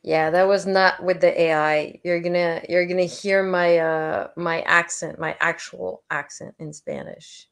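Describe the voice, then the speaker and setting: A woman hosting a casual livestream chat. She is animated and laughs often. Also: lowish voice, measured pace, vocal fry, hardly any pauses. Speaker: livestream host.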